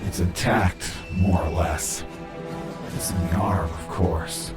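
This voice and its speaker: An actor playing a serious, practical armored hero in a comic dub, speaking in a measured, matter-of-fact way. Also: male voice, low-pitched. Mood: grave, practical. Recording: echoey, with music behind.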